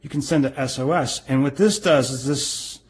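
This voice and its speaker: A man's voice: mid-range voice, normal speed, a little hiss or hum. A middle-aged man giving a casual, unscripted product review in an informal, rambling, explanatory way.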